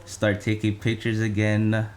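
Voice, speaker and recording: Young adult male guest, deep voice, relaxed and halting, casual chat. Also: slight background noise. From Malaysia. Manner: laid-back, halting with many restarts. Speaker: podcast guest.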